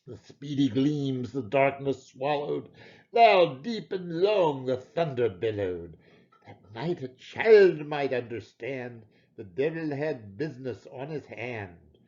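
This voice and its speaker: A man giving a theatrical, expressive reading of a narrative poem in Scots dialect. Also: medium pitch, takes it slowly, dull audio. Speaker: poetry reader.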